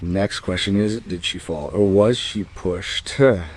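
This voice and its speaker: An actor playing a dry, probing detective inspector in a crime radio play, speaking in a measured, questioning way. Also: male voice, sits low, low-quality recording.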